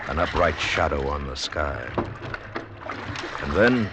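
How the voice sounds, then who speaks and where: A man narrating an old-time radio drama as a grave, deliberate storyteller of the sea. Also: a bass voice, lively intonation, exaggerated voice. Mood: solemn, measured. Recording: noisy old radio recording, sea sounds behind.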